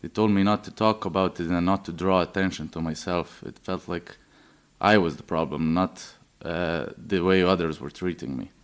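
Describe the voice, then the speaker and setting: A man's voice: deep voice, fairly even intonation, normal speed, clean tone. A man in his twenties from Serbia, giving a personal testimony hesitantly, with many fillers and restarts. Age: 20s. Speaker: interviewee.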